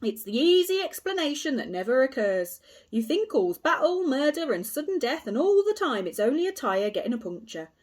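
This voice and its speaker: Woman doing a loud pompous police inspector. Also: high-pitched, sing-song, moderate pace, voice pushed up. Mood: lecturing. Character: blustering self-assured male police inspector.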